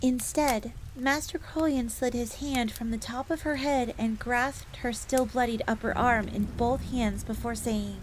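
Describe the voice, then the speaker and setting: A woman's voice: on the high side, steady tone, measured pace, few pauses, lightly acted. A woman playing a devoted, eager fox maid who tells her story expressively in the third person, as in an audio drama. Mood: earnest storytelling. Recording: faint crackling fire noise behind voice.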